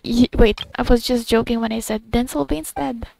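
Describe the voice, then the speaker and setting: Creaky-voiced woman casually commenting on a game. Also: fairly high pitch, fairly even intonation, moderate pace, warm tone, no echo. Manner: rambling, jokey, thinking aloud. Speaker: gamer playing and commenting.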